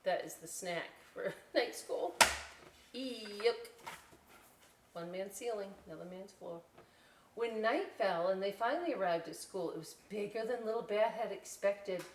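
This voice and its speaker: A woman hosts a children's story time in an informal, playful style, mixing reading with chatty asides. Her mid-pitched voice is picked up from some distance, with faint noise behind it. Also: unhurried, roomy sound. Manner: playful, informal, often checks in with "right?". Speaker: library story time host. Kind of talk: casual read-aloud with chatty asides for children.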